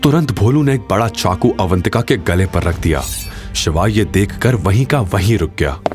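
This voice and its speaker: A man's voice: lowish voice, animated, moderate pace, soft background music. A man giving dramatic scripted narration as the storyteller of an audio drama.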